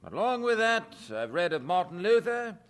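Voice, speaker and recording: Radio-play casting: a self-justifying boozy clergyman, higher-voiced, mocking, very animated delivery. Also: moderate pace, heavily acted. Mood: smug, jovial. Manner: mocking, glib, chuckling. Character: cynical, tippling, self-justifying tavern-going minister. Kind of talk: audio drama acting.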